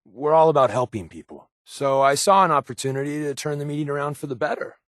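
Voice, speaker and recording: An actor voicing a sly, sardonic undercover agent as a video game companion, glib and conversational with dry sarcasm. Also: male voice, a little high, fast talker, fairly bright tone, no room sound, natural voice. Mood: earnest, persuasive.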